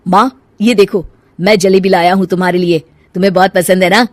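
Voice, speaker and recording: A woman's voice: mid-range voice, steady tone, fairly quick, natural voice. A woman voicing a devoted young adult son in a cartoon story, earnest and coaxing. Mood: eager, affectionate. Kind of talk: cartoon family story dialogue.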